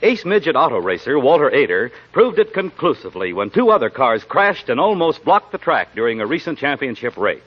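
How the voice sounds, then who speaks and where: A man's voice: mid-range voice, sing-song, fairly quick, few pauses, husky. A man reading a 1940s radio commercial as announcer, polished and emphatic. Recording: dull lo-fi 1940s radio recording.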